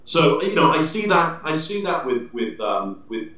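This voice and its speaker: A middle-aged man explains things with lively emphasis, gesturing and moving about as he talks. His voice sounds set back from the webcam in an echoey room. The audio is dull and lo-fi. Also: fairly high pitch, a little slow. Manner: emphatic, gestures a lot, stands and moves around, tags lines with "yeah?". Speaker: body language expert guest. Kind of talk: animated explanatory interview talk. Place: indoor room with large window. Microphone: video call, webcam at distance. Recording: roomy echo, distant, dull webcam sound.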